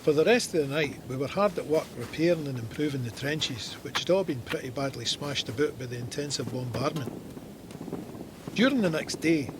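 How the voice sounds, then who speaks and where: An actor playing a stoic Scottish First World War soldier, narrating his memoir in a measured, matter-of-fact way. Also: a little high, noisy background, lightly acted. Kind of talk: scripted memoir narration in an audio drama.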